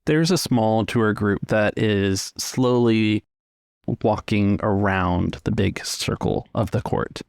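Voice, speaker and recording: A man working as game master, narrating a tabletop roleplaying game in measured, halting descriptions with pauses. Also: on the low side, gravelly, darkish tone, no echo, studio quality.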